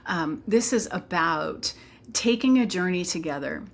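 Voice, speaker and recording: A woman's voice: fairly low pitch, expressive, unhurried, gravelly. A woman teacher presenting her program informally, explaining earnestly with conversational asides.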